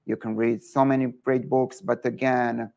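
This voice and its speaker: A man's voice: mid-range voice, flat delivery, normal speed, vocal fry, a little mellow. A man with a Serbian accent, a guest entrepreneur in an online interview, giving earnest advice with many filler words.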